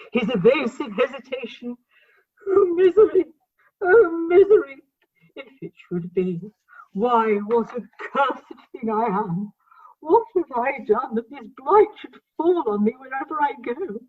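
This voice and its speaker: A woman's voice: on the high side, husky, heavily acted. An actress playing a jealous, grandly wronged tragic woman in a comic radio play, declaiming theatrically over a video-call recording. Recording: tinny, band-limited video-call recording.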